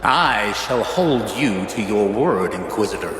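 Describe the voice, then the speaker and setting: A man's voice: lowish voice, lively intonation. A man playing a dignified, shrewd and courteous senior churchman in a video game, speaking formally and without hurry. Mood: courteous, knowing. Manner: unhurried, formal, stately. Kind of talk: video game character acting. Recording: echoing hall, faint background noise.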